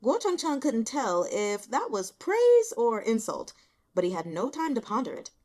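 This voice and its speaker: A woman reading fiction aloud as an audiobook narrator, in an expressive storytelling style. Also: a little high, moderate pace. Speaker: audiobook reader.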